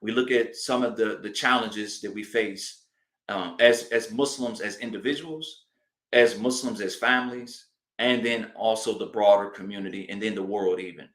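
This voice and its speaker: A man giving a sermon as an imam, speaking deliberately and emphatically and repeating phrases to drive points home. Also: on the low side, a bit bright. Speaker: imam giving a religious address. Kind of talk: sermon, public teaching address. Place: indoor hall of a mosque. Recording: roomy, echoing live address.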